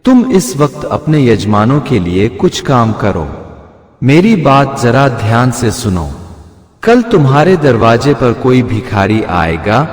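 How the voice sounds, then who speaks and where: A man voicing a kindly, wise holy man in a storybook tale, giving calm instructions. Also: medium pitch, slow pace. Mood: calm, benevolent. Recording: echoey with long reverb.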